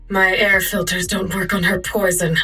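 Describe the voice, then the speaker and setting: A cold female poison scientist from a video game. Her voice is forceful and sharp, deliberately slow, and sounds distant from the mic with music underneath. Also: on the low side, slightly rough, heavily acted.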